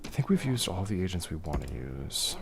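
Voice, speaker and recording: A man casually talking through a strategy game as he plays, thinking aloud and answering viewer questions in a relaxed way. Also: sits low, a little fast, vocal fry, noisy background. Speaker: streamer playing a strategy game. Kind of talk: casual gameplay commentary reading chat.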